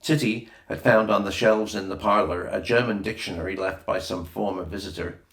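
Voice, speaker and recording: A man's voice: lowish voice, moderate pace, fairly bright tone. A man reading a children's adventure novel aloud as scripted narration, with a roomy echo on the recording. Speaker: audiobook reader.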